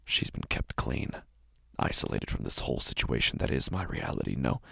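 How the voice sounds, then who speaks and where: A man playing an anguished, sleepless, guilt-ridden father in a horror audio drama, halting and breathy, swinging between hushed and intense delivery. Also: extremely low pitch, big pitch swings, no echo, studio quality, exaggerated voice. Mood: weary, bitter. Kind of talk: dramatic horror audio narration in first person.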